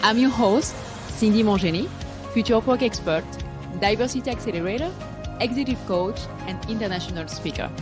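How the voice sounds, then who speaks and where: A woman's voice: mid-range voice, a little fast, music in the background. A woman hosting a podcast, reading an upbeat scripted introduction and asking interview questions. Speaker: podcast host.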